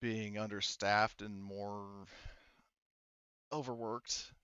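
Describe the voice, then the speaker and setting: A man's voice: fairly low pitch, level tone, fairly bright tone, clear, resonant tone, no echo, dull audio. Man playing a weary, hesitant woman engineer. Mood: thoughtful, candid. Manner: hesitant, halting, candid. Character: weary, candid overworked woman engineer in a space colony.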